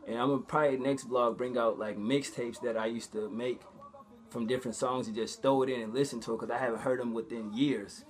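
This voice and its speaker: A man's voice: medium pitch, fairly even intonation, a little fast. A man talking casually to viewers as a vlogger, conversational and full of slang and swearing. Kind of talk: casual vlog talk to viewers.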